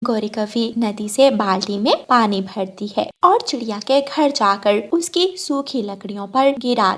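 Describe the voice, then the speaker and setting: Woman reading a kids cartoon story, slightly high voice, gentle and steady. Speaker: narrator. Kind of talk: storybook narration for children.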